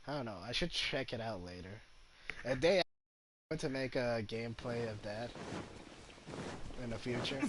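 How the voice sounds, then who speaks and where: A man's voice: medium pitch, normal speed, pauses often, noisy background. A man giving casual gameplay commentary, rambling hesitantly and sounding amused. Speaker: gamer, video host.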